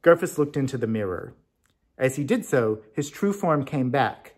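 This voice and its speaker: Husky, animated male storyteller. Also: mid-range voice, normal speed. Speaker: story reader. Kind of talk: expressive scripted storybook narration.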